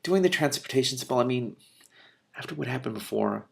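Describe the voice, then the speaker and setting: A man's voice: mid-range voice, animated, fairly quick, vocal fry, voice pushed up. A man voicing a blunt, protective storybook princess who challenges others in a pointed, impatient way. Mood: worried. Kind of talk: expressive audiobook-style character reading.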